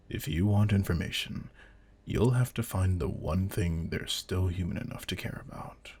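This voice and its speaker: Guy doing a grizzled vampire hunter mentor, audio roleplay, gravelly raspy voice, intense, deliberate, quietly menacing. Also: deep voice, exaggerated voice. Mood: low, ominous. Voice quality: gravelly, husky. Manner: deliberate, commanding, menacing understatement. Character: veteran male vampire hunter, controlled, intense, secretive mentor. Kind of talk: scripted roleplay audio drama.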